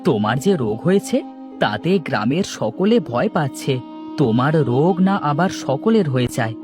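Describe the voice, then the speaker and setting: A woman's voice: very deep voice, lively intonation, normal speed, music in the background, pitched below the performer's own voice. Meek cartoon villager, a woman putting him on, worried and humble, voice swinging up and down. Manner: pleading, anxious, deferential. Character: poor young village husband, meek and worried.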